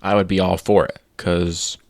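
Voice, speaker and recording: A man's voice: low-pitched, flat delivery, moderate pace, darkish tone, no room sound. A man co-hosting a podcast in casual chat, eagerly building his case point by point. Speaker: podcast co-host.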